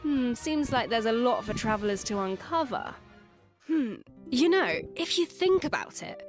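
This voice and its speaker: A woman co-hosting a video game news program, presenting in an expressive, enthusiastic scripted style with banter. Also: fairly high pitch, moderate pace. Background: background music.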